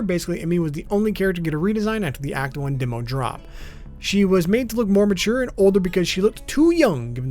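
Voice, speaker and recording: A man narrates a scripted explainer in a fairly high voice with lively, swinging intonation. He sounds informal, dropping casual asides and stumbling slightly over the odd phrase. Music plays behind his voice. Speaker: video host. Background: background music.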